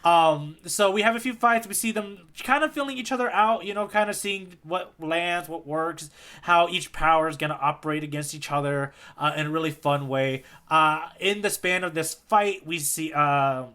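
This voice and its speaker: A man's voice: a little high, moderate pace, sharp tone, clean tone. A man co-hosting a podcast, recapping a story in casual, enthusiastic chat. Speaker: podcast co-host.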